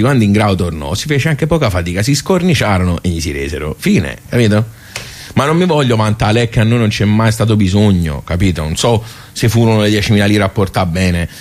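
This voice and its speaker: A nostalgic village club regular, played by a male actor, reminisces in a low-pitched man's voice with a Tuscan accent. He is chatty and folksy, wandering off into digressions as he goes. The delivery is that of a colloquial radio theatre monologue. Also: close to an everyday voice.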